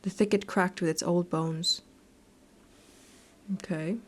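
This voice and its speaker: A woman's voice: on the low side, fairly even intonation, takes it slowly. Woman reading a horror game aloud. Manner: sweary, unscripted asides. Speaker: gaming video host. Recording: faint music behind the voice. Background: game music.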